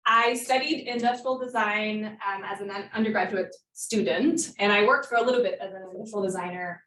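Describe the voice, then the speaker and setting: A woman's voice: mid-range voice, fairly quick, fairly bright tone, a little hiss or hum. Woman lecturing in an echoey room. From Montreal, Canada. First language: French. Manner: friendly, hesitant with fillers, laughs lightly. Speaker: guest lecturer, design professor. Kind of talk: academic talk. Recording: echoey room, distant mic.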